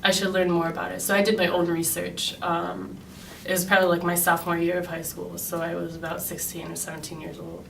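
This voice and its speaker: Soft-spoken young woman, flat delivery. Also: lowish voice, fairly quick, gravelly, few pauses, far from the mic. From Chicago, United States. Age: 20s. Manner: earnest, steady, frequent "um" fillers. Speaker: interviewee, graduate student. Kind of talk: personal interview account. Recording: echoey room, speaker away from mic, faint noise.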